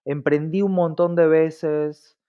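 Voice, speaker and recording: A man's voice: on the high side, steady tone, slow, clean tone. An Argentine man speaking reflectively as an interview guest, often adding short tag questions to check in, on a dull lo-fi recording. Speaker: podcast guest, diversity professional.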